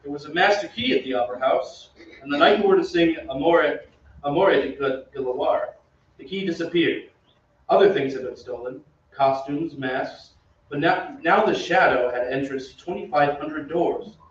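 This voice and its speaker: A grave opera singer narrating a spooky radio play, acted by a man, dramatic and suspenseful. His slightly high voice comes from a distance, echoing heavily. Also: moderate pace, close to an everyday voice. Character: opera tenor recounting eerie events, grave storyteller. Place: theatre stage. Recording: echoey stage from far off, dull, noisy.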